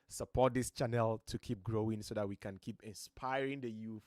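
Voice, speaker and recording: A Ghanaian man with a deep voice, giving earnest, motivational talk as host of a live stream from his home studio, his voice a little strained. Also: fairly bright tone, no echo. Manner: earnest, motivational, emphatic rises. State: voice slightly strained.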